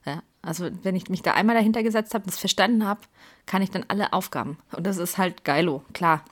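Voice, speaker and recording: A woman's voice: lowish voice, quick pace. A woman in her thirties from Germany hosting her own podcast, chatting casually in a rambling, self-correcting way and now and then dropping into a whisper. She sounds stressed before an exam. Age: 30s. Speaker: podcast host. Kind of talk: casual chat.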